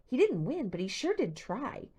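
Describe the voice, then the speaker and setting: A woman's voice: fairly high pitch, big pitch swings, moderate pace, mellow, slightly rough, voice pushed up. A woman voicing a chatty, curious teenage schoolgirl in an audiobook, with a casual, gossipy manner.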